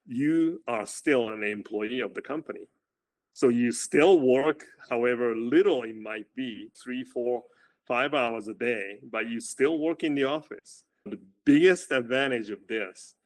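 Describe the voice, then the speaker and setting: A man with a loud voice, a running coach as guest, talking casually and often restarting with repeated words. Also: medium pitch. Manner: halting, repeats words while thinking. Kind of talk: casual interview chat.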